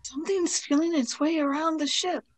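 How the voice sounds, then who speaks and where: Woman as young girl companion for radio drama: perky, teasing, high voice. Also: moderate pace, dry recording, lightly acted. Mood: alarmed, curious. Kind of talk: radio play acting.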